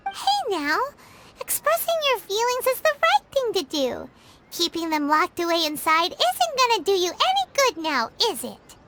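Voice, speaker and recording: A woman voicing a tiny, excitable, food-loving fairy companion in a video game, with a squeaky childlike voice and a bouncy, blunt way of talking. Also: moderate pace, very noisy, exaggerated voice. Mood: reassuring. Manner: bouncy, blunt, talks about herself by name. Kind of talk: video game cutscene dialogue. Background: game music.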